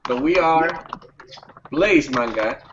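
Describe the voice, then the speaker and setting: A man's voice: medium pitch, expressive, slow, far from the mic. A man chatting casually as a guest artist on a group discussion, in a roomy, muffled, noisy recording. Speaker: comic artist, panel guest. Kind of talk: casual chat.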